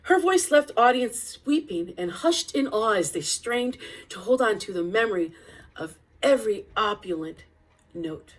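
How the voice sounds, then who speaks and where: A woman's voice: medium pitch, lively intonation, unhurried, low-quality recording. A woman reading a children's picture-book biography aloud as storytelling narration, with an occasional small stumble.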